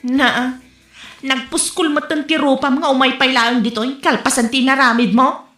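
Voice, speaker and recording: Drama role: woman as sulky, entitled elder sister, high sharp voice, Ilocano accent, echoey room. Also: fairly even intonation, normal speed. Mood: accusing. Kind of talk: Ilocano comedy-drama acting. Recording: roomy echo, faint music.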